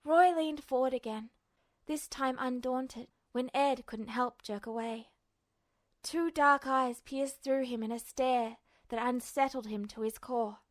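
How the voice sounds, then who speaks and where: A woman's voice: high-pitched, fairly even intonation, a little slow. A woman reading fiction aloud as a dramatic, scripted audiobook narration. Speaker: fan fiction podfic reader.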